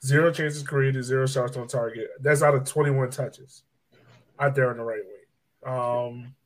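A man's voice: medium pitch, fairly even intonation, a little slow, low-quality recording. A man in casual sports chat as a podcast panelist, citing match statistics.

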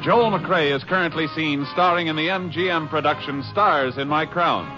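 A man's voice: fairly high pitch, moderate pace. A man working as show announcer, reading scripted 1950s radio credits. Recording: muffled old radio recording. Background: music.

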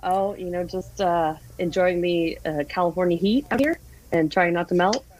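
A woman's voice: lowish voice, moderate pace, a little mellow, rough recording. A woman chatting casually as a guest on a podcast. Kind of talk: casual chat.

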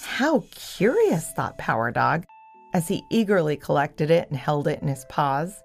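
Low-pitched female children's story narrator. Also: lively intonation, normal speed, creaky.

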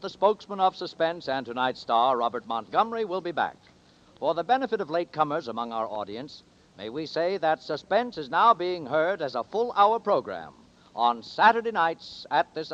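A male announcer with a fairly high, widely swooping voice delivers formal, theatrical copy in 1940s radio style. The old broadcast sound is dull, with faint music behind. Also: a bit bright.

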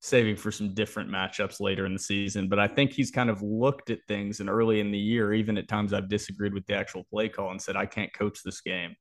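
A man's voice: fairly low pitch, level tone, brisk, few pauses. A man co-hosting a sports podcast, talking through analysis and predictions in a casual, explanatory way.

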